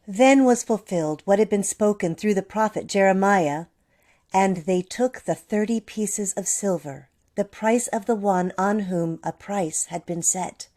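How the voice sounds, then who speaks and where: A woman narrating a scripture passage in a church drama, reading steadily and clearly. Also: medium pitch, warm tone.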